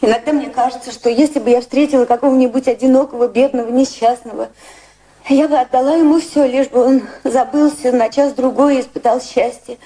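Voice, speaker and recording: An actress plays a society woman in desperate distress for a radio drama. Her voice is fairly high and softly mellow. She pleads in hushed, dramatic tones, frightened and full of shame. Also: fairly even intonation, a little fast, close to an everyday voice, low-quality recording. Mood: tearful, pleading.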